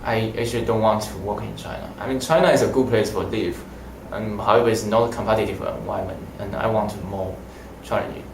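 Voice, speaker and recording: A man's voice: fairly low pitch, steady tone, creaky, a little hiss or hum. Young Mandarin-native man, echoey room. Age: young adult. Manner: halting, simple phrasing. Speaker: language student. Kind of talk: casual interview. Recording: roomy echo.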